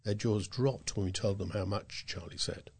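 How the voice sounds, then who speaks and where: A man's voice: fairly low pitch, moderate pace, darkish tone, slightly rough. A man reading scripted local news stories as a volunteer newsreader.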